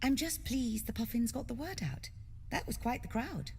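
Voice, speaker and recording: An actress playing a poised, businesslike theatre troupe manager in a video game, measured and appraising. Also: female voice, fairly high pitch, lively intonation, darkish tone, breathy edge, full character voice. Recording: reverberant, faint background ambience.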